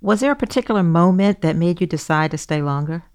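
A woman's voice: fairly low pitch, lively intonation, quick pace, darkish tone, smooth. A woman hosting a news podcast, narrating from a script and putting questions to a guest.

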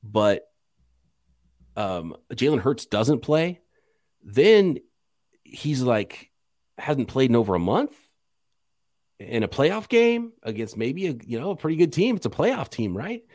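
A man co-hosting a sports podcast, talking casually with an animated, joking delivery. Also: mid-range voice, slow, long pauses, dry recording, studio quality.

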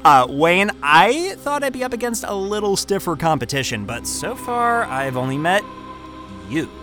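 An actor playing a cocky, talkative young job candidate who stammers and rambles in a naturalistic audio drama. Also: male voice, high voice, big pitch swings, normal speed, a bit bright, faint music behind. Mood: excited, smug. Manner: stammering, rambling, self-interrupting.

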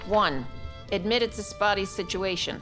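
An actress playing a prim, polite secretary in a comic audio drama, with dryly needling delivery. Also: female voice, medium pitch, normal speed, bright, forceful tone, soft background music, close to an everyday voice. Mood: prim, deadpan. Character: prim, pointedly deadpan female secretary to a First Lady.

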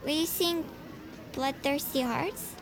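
A woman's voice: high voice, slow pace, noisy background. A young actress playing an eager, earnest teenage girl in naturalistic audio drama dialogue. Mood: awkward, hurt.

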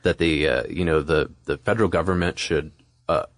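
A man's voice: rumbling low, brisk, dry recording, studio quality. A man co-hosting a podcast, explaining history in a casual, conversational and informal way. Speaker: podcast co-host.

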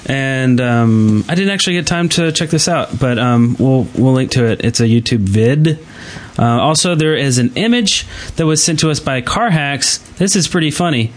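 A man hosting a podcast in casual chat, informal and halting as he goes. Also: medium pitch, lively intonation. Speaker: podcast host.

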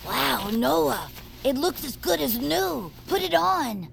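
An actress playing an encouraging, admiring companion creature in a family audio drama, with a creaky voice. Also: female voice, high-pitched, sing-song, slow, very noisy, exaggerated voice. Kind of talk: family audio fiction acting.

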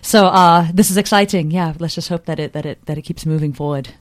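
A woman's voice: on the low side, brisk, no room sound, clean studio sound. A woman in her thirties, a podcast guest, rambling with lots of fillers. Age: 30s. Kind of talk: casual panel discussion.

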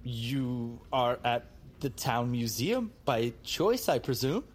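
A man's voice: mid-range voice, very animated, takes it slowly, slight background noise. A man playing a polite, formal, easily flustered small-town museum guide in an audio drama. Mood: polite, eager.

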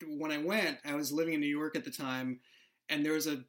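A man with a somewhat high voice spins a comic story with lively timing. He keeps it loose and joking, like a friend at the table. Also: fairly quick. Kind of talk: casual interview chat.

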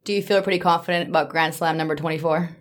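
A woman's voice: sits low, steady tone, racing. A woman hosting a podcast in a casual, conversational interview style. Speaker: podcast host.

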